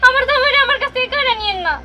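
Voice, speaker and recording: A desperate new bride played by a woman with a very high voice, begging in a fast, insistent rush. It is amateur film melodrama with music behind. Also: sharp tone, heavily acted. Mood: desperate pleading. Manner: pleading, insistent, colloquial. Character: desperate, pleading newlywed wife. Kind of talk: amateur horror-comedy short film acting.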